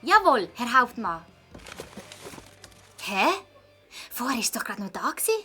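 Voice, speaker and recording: Bright, high-pitched Swiss German girl sleuth. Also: a little slow, faint music behind, heavily acted. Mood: eager. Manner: lively, eager. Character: eager, cheeky young girl detective. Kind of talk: children's audio drama acting.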